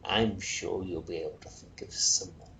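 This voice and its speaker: A man reading a storybook puts on an obliging salesman with a creaky voice. He is deferential, formal and quick to apologise, speaking in a roomy, echoing space. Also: low voice, fairly even intonation, pushed and bright, heavily acted. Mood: pleased, deferential. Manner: polite, formal, fussily apologetic. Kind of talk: storybook character voice. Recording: roomy echo, faint noise.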